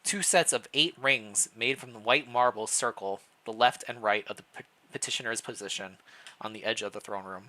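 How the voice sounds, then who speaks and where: A man's voice: medium pitch, moderate pace, pushed and bright. A man narrating fan fiction expressively as a streamer, mixed with casual chat. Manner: stumbles over words while reading aloud, self-deprecating.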